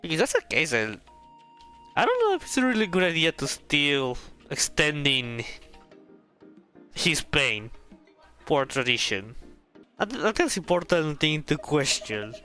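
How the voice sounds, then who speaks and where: A man's voice: on the high side, huge pitch swings, measured pace, pauses often, pushed and bright, clear, resonant tone, soft background music. A man streaming a game, reading dialogue aloud and thinking through choices in casual, hesitant commentary.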